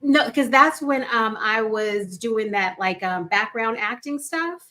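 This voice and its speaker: A woman panelist in a casual chat, soft-spoken with short, easy replies. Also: a little high, lively intonation, unhurried.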